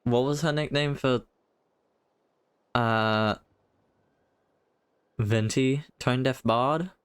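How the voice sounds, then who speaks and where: A man giving casual live commentary as a game streamer, musing aloud in a halting way. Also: mid-range voice, clean tone, dry recording.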